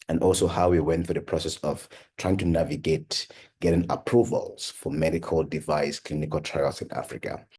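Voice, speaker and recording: A very deep, husky male voice from a young man born in Cameroon. He talks earnestly and conversationally, dropping frequent "you know" and "uh" fillers. His voice stays low, with a rough, breathy edge. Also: a little mellow. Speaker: medical device company founder and PhD researcher. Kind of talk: personal talk for an online course.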